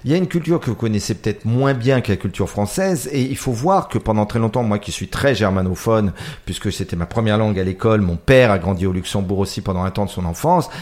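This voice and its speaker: A man's voice: lowish voice, expressive, fast, darkish tone. A man hosting a radio talk show, chatting with a guest and talking straight to the listeners. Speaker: radio host.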